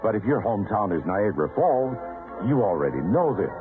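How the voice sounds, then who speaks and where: A man's voice: on the low side, big pitch swings, a little fast. An American man reading scripted narration as a radio announcer, polished and wry. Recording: muffled old radio broadcast. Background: music.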